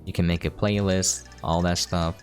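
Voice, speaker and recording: A man's voice: deep voice, level tone, normal speed, clean tone, faint music behind. A man casually explaining a game as he plays, in an offhand, explanatory way. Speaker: game preview host. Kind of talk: casual gameplay commentary.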